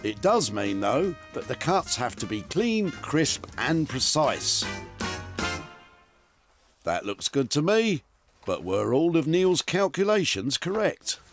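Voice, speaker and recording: A man's voice: fairly high pitch, big pitch swings, slow pace. A man reading a scripted voice-over for a TV show, with wry, teasing asides. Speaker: narrator of a TV upcycling show. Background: music.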